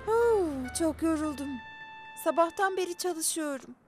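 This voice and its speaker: A woman voicing a hard-working, bubbly young female mechanic in a children's cartoon dub, with loud music behind. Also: extremely high pitch, animated, a little slow. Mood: tired.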